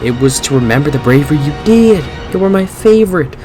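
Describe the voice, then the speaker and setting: A man's voice: on the high side, animated, a little fast, music in the background, pitched above the performer's own voice, lightly acted. A man voicing a timid, easily startled young hero in a story, earnest and flustered.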